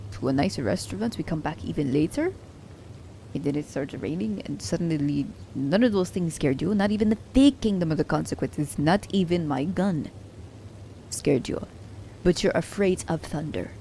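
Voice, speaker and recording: A woman's voice: on the low side, mellow, a little hiss or hum. A woman playing a sly, commanding assassin who teases her captive werewolf in an ASMR roleplay, with a haughty, teasing manner. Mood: incredulous, mocking.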